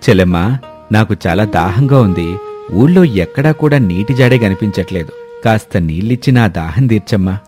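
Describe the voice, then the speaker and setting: Man acting wealthy gentleman, very deep dark voice, courteous, generous, storybook dialogue. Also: expressive, normal speed, faint music behind, lightly acted. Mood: pleading. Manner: courteous, reassuring. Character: polite, grateful, generous wealthy businessman.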